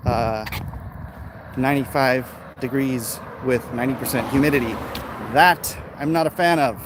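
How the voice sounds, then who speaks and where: Casting: American male, average pitch, informal rambling walk-and-talk livestreamer, recorded outdoors with noticeable urban street noise. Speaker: livestream host. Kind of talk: casual walking livestream chat. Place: outdoors, walking along neighborhood streets. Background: urban outdoor ambience, vehicles.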